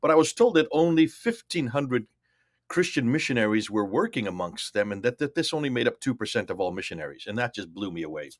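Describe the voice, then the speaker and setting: A man's voice: mid-range voice, big pitch swings, fairly quick, no room sound, clean studio sound. A man in his sixties from India, a missionary guest in an interview, telling his story in an emphatic, lecturing way. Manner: emphatic, lecturing, repeats numbers for effect. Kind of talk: testimony in an interview.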